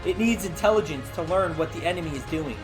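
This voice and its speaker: A man with a fairly high voice reads a story aloud as host. He is informal and drops chatty asides to his listeners. Faint music plays behind him. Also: fast talker. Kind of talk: casual read-aloud of an interactive book.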